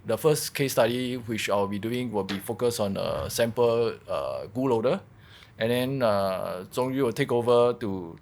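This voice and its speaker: A man presents technical material at a conference workshop. His pitch sits in a middle range for a man. He explains step by step, somewhat haltingly, often repeating a word before going on. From Singapore. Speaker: workshop presenter, malware researcher.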